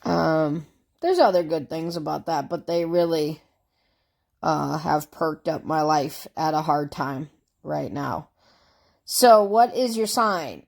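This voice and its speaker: A woman vlogger chatting casually through tag questions, congested from a cold, rambling and easygoing. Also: on the low side. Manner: rambling, easygoing, with fillers and asides.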